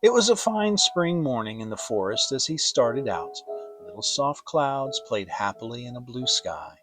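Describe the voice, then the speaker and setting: A man narrating a children's story as a dramatic audiobook, deliberate and expressive. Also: mid-range voice, rough recording.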